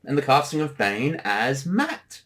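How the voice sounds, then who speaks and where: Very lively, chatty commentator with mid-range voice. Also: mid-range voice, unhurried. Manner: animated, breaks into quoted voices. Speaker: show creator giving commentary.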